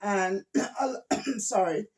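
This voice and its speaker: Slow, mellow older woman from Jamaica. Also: mid-range voice, poor audio. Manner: hesitant, gentle, repeats words. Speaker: guest. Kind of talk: casual family interview.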